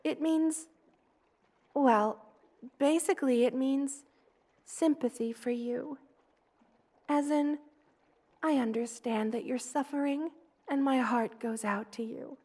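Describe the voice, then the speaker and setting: A woman voicing a gentle, motherly spider queen in an ASMR roleplay, tender, cooing and soothing as she dotes on the listener. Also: high-pitched, slow, long pauses. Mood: careful, sympathetic. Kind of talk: ASMR roleplay, personal attention.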